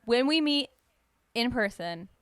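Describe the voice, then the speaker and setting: A woman chatting on her podcast in a smooth, clear voice, recorded close and dry. She rambles, restarts her sentences and leans on fillers as she talks. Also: a little high, expressive, unhurried, no room sound. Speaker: podcast co-host. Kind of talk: casual chat.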